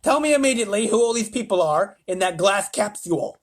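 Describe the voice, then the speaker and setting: A man reading aloud and voicing an excitable, bossy male president who shouts his questions. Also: high voice, fairly quick, pitched above the performer's own voice. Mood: demanding. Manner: shouting, eager.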